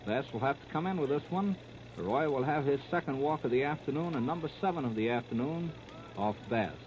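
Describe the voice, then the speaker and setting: 1930s American baseball announcer, muffled noisy recording. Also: male voice, mid-range voice, expressive, brisk. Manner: clipped present-tense running description. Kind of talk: 1930s radio sports commentary. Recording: muffled, very noisy 1939 radio disc.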